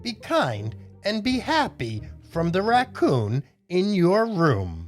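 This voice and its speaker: A friendly, playful storybook raccoon, read by a man. He speaks gently and unhurriedly, with a fairly high, lively voice. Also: takes it slowly, soft background music, exaggerated voice. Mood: friendly, kind. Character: friendly, playful male raccoon writing a kind note.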